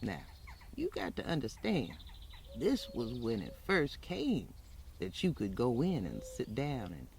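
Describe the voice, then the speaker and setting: An actress plays an elderly Southern woman recalling her life in a radio-style drama. Her voice swings widely in pitch, with a gravelly creak. She is folksy and musing, over faint noise with birdsong. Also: wildly swooping pitch, a little mellow, heavily acted. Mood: reflective. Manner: halting, folksy, colloquial, musing. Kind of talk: old-time radio style dramatized first-person storytelling.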